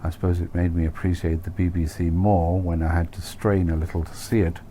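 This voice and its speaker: A man's voice: low voice, flat delivery, fairly quick, mellow, slight background noise. A man reading his own first-person novel aloud as an audiobook, in a wry, dry manner.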